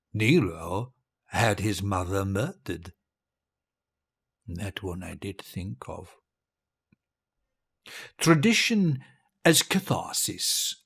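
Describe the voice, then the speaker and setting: An actor playing a cruel, vain old dictator in an audio drama, speaking in a grandiose, rambling way with a husky voice. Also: male voice, fairly low pitch, exaggerated voice. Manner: grandiose, rambling, imperious, halting. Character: cruel, vain old dictator giving his dying speech.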